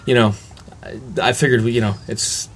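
A man's voice: medium pitch, fairly even intonation, moderate pace. A man hosting a podcast in a casual, jokey, rambling way. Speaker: photography podcast host. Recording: clear background noise.

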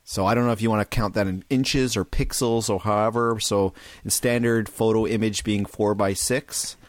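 Low, monotone male podcast co-host. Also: moderate pace, no echo. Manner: self-described monotone, laughs easily. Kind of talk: casual chat.

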